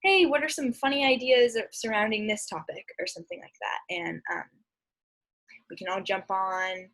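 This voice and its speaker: A woman's voice: mid-range voice, moderate pace, fairly bright tone. A young adult woman giving an informal instructional presentation in a casual, conversational way, recorded indoors on a laptop microphone. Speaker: speech and debate presenter. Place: indoor room at home, on a video recording.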